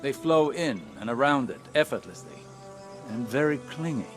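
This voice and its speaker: A scholarly researcher acted by a man with a mid-pitched male voice, musing precisely over clear background music. The delivery is measured and thoughtful, as in a sci-fi game cutscene. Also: animated, close to an everyday voice. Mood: curious, musing. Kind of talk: video game cutscene acting.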